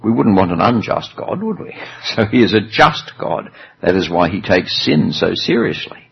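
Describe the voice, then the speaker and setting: A man with a low, gravelly and husky voice preaching a chatty sermon, with dry self-deprecating humor. The sound is dull and lo-fi, as if recorded in a church hall. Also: very animated, normal speed.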